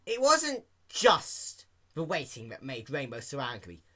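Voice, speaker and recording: A man narrating a story as an amateur fan fiction reader, in an animated scripted style. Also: medium pitch, measured pace.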